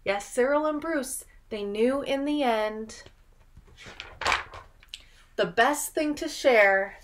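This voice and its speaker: Casting: young woman, children's storybook reader, higher voice, very unhurried, dramatic and prompting with questions. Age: young adult. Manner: dramatic, drawn-out delivery with gasps and prompting questions. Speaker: speech therapist reading a picture book aloud. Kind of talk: expressive children's read-aloud with questions to the listener. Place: small room at home, indoors.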